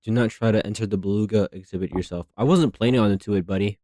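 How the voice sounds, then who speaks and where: A man giving casual, rambling commentary as a host, slangy, repeating himself and thinking out loud. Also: lowish voice, fast talker, slight background noise. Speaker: theory video host reacting to horror series.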